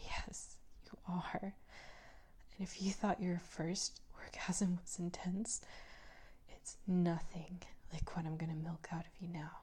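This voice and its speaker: A woman playing a domineering, cooing character in an intimate adult roleplay, mostly whispering in a breathy, coaxing, condescendingly tender manner. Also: fairly low pitch, dark tone. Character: domineering, cooing woman who soothes and commands an adult man.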